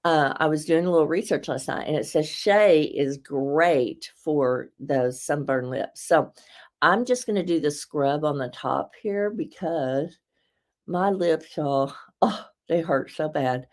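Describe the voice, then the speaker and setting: Casting: woman host, low and a touch raspy, chatty and rambling. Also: takes it slowly. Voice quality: slightly raspy. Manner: chatty, rambling, laughs often, warm asides to viewers. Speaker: host presenting beauty products. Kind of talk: casual live product demo.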